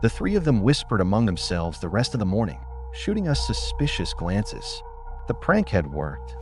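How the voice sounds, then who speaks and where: Guy narrating an audiobook story, really animated pitch, music going behind him. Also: moderate pace. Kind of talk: scripted first-person story narration.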